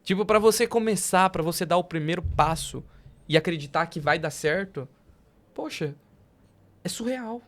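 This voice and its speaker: A woman interviewing in a casual, colloquial way, with informal, slightly halting phrasing. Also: on the low side, expressive. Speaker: podcast interviewer.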